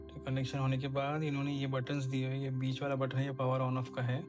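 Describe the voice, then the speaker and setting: A man's voice: medium pitch, monotone, brisk, music in the background. A man vlogger casually explaining a hands-on installation step by step, giving practical tips as he works. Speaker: motorcycle vlogger.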